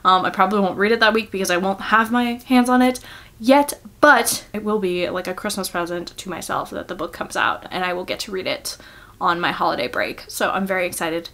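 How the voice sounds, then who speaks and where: A young woman talks to her viewers about books in a casual, chatty way. Her medium-pitched voice gushes informally, full of filler words, with a giggly, self-deprecating streak. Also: brisk, fairly bright tone. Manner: gushing, informal, filler-heavy with frequent "like". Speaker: book vlogger.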